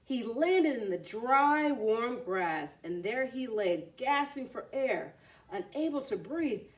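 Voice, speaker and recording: A woman's voice: on the high side, lively intonation, slow pace, far from the mic. A woman reading a children's story aloud expressively, adding simple explanatory asides.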